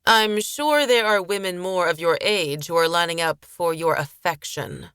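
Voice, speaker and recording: A woman's voice: medium pitch, a little slow, a bit bright, dry recording, clean studio sound, pitched above the performer's own voice. Woman voicing a friendly man with an edge. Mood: polite warning.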